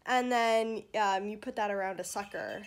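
A woman's voice: medium pitch, normal speed. A young adult American woman chatting casually to a phone camera held at arm's length, as a vlogging mother. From United States. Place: living room at home.

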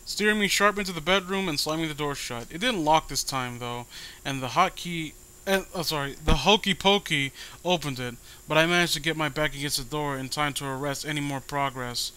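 A man with a fairly high, bright and sharp voice reads horror fiction aloud. His delivery is dramatic, with a sarcastic edge suited to mocking commentary. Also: fairly quick, clear, resonant tone, poor audio. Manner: sarcastic, dramatic reading broken by asides. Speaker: host reading amateur horror stories. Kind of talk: story reading with mocking commentary.

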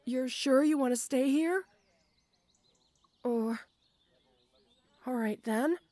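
Woman playing a high-voiced, shy tomboy orc girl. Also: darkish tone, no room sound. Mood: apologetic, timid. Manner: halting, timid, stammering, apologetic. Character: shy, awkward, muscular tomboy orc schoolgirl. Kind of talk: roleplay audio acting addressed to the listener.

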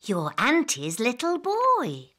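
A woman playing a doting, gently firm queen and mother in a cartoon, with a motherly, expressive manner. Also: fairly high pitch, slow, heavily acted. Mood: gentle.

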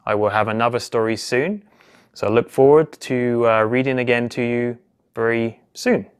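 A man's voice: mid-range voice, normal speed, smooth. Man reading a children's story. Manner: expressive, simple narration with questions and exclamations for young children. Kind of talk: children's storytime read-aloud. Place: small indoor office or home room.

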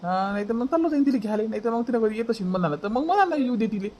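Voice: medium pitch, animated, very fast, darkish tone, slight background noise, natural voice. A performer voicing a grudging village husband who repeats himself, in an amateur cartoon family comedy, with a Varhadi Marathi accent. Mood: grudging, placating.

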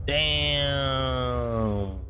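A man's voice: mid-range voice, very slow, music in the background. A man giving casual livestream reactions to a game in slangy, repetitive phrases with plenty of swearing. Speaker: game streamer.

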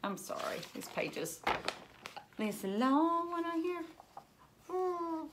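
A woman in her thirties reading a children's book aloud like a teacher, with expressive asides and questions to young listeners. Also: very high-pitched, slow pace, background noise. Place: indoors, living room at home.

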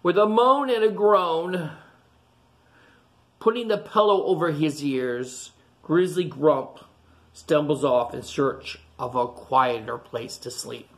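Slow, animated man reading to kids. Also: fairly high pitch. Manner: animated, explains the story to children between pages. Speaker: teacher reading a picture book aloud. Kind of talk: children's storytime read-aloud. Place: classroom, indoors. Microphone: webcam at close range.

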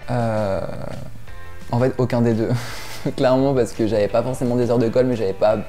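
A young man from southern France answering quick-fire questions on a lapel mic in a small room, hesitating with many fillers and laughing often. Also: mid-range voice, fairly even intonation, dark tone, soft background music. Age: young adult. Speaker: guest youtuber.

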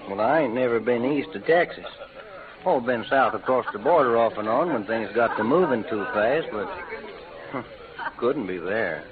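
A man's voice: mid-range voice, expressive, normal speed, a crowd in the background, lightly acted. A man playing a laconic, polite gunman and card player with a folksy Western American drawl in an old radio western. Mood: reserved, courteous. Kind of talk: 1940s-50s radio western acting. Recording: tinny old radio recording, faint noise.

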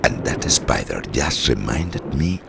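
Sinister, courteous horror host male actor, extremely deep, creaky, unhurried, old-time radio, noisy backdrop. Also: sing-song, heavily acted. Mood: polite, sly. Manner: polite, unhurried, addressing the listener. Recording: loud background noise and ambience.

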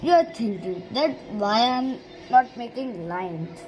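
Slow-talking woman teaching digital drawing. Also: a little high, expressive, a little mellow, slight background noise, poor audio. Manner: simple instructions in short broken phrases. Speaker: art teacher. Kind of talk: step-by-step drawing tutorial. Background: background music.

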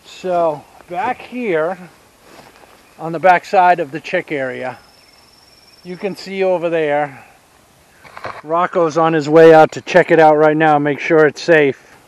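A man in his fifties with a Southern US accent, giving a casual outdoor tour of his homestead and explaining each step with pauses. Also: on the high side, poor audio. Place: outdoors on rural land by a pine forest. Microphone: action camera held at arm's length.

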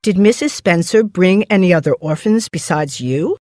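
Stern, low-voiced older farm woman played by an actress. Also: animated, darkish tone, no room sound, studio quality, lightly acted. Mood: brisk, stern. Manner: brisk, blunt, exasperated. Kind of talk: acted children's animated story.

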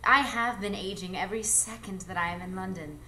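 A woman playing an alluring, ageless, mysterious adventuress in a live radio drama, speaking in a measured, coy, theatrical way. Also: medium pitch, pushed and bright. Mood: coy, teasing. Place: live performance space before an audience. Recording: noisy live stage recording.

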